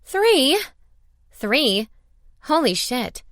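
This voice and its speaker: An earnest, emotional young woman speaks plainly as an audiobook narrator acts her out. Her pitch is raised and her delivery is expressive, in very dry sound. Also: a little slow, no echo. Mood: startled. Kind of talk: audiobook character dialogue.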